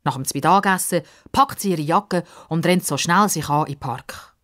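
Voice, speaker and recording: A woman's voice: deep voice, normal speed, a bit bright. A woman narrating a scripted story for children.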